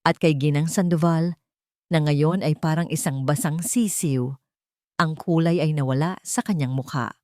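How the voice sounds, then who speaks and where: A woman with a fairly low voice narrates a romantic drama. Her delivery is measured and dramatic, set out in short phrases. The recording is dry and close. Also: warm tone, no echo. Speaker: story narrator.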